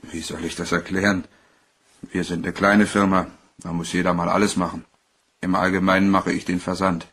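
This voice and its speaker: An actor playing a plain-spoken, slightly guarded shipping clerk in a crime radio play, answering matter-of-factly. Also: male voice, sits low, level tone, normal speed, fairly bright tone, a little hiss or hum.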